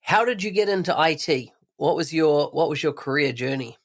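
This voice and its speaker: A man's voice: fairly high pitch, lively intonation, normal speed. A man hosting a podcast, asking upbeat, friendly questions. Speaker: podcast host. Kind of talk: podcast interviewing.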